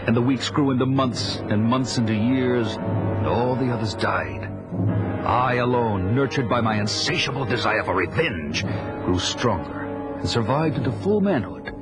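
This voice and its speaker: A man's voice: mid-range voice, animated, moderate pace, over loud music, close to an everyday voice. A man playing a grim, vengeful barbarian warrior who recounts his life in grave, dramatic audio drama narration. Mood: tense, grim.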